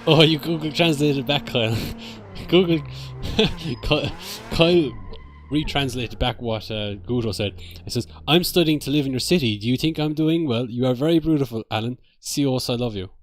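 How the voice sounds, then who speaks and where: A man's voice: mid-range voice, moderate pace, faint music behind. A young Irish man chatting casually on a gaming stream, reading chat aloud and joking. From Ireland. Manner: laid-back, jokey, reads chat aloud. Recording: noisy stream with car sounds. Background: racing game engine and tire noise.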